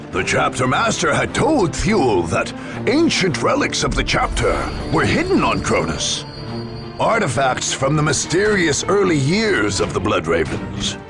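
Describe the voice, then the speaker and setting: A man performing as a solemn, grave chronicler of war, narrating a game cutscene in a portentous, dramatic manner. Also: mid-range voice. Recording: loud music behind the narration.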